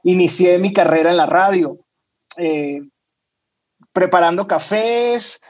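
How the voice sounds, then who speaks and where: A talkative man in his thirties with a Venezuelan accent, speaking as a podcast guest. His voice sits high for a man. He keeps looping back on his words as he speaks. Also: slow, vocal fry, a little mellow, dull audio. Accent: Venezuelan Spanish. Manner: talkative, repeats words while searching for them. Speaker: podcast guest, music executive. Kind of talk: casual interview chat.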